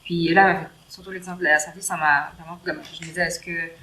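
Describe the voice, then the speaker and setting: A woman's voice: fairly low pitch, little pitch movement, fast talker, sharp tone. A woman interviewer, chatting in a loose, engaged way with fillers. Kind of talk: casual chat.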